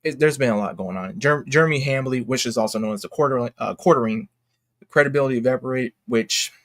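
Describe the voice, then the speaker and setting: A man's voice: medium pitch, a bit bright. Man in a rambling, emphatic casual rant. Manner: rambling, halting, self-interrupting; exclamatory, slangy, emphatic. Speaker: YouTube commentator. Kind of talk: unscripted casual commentary rant.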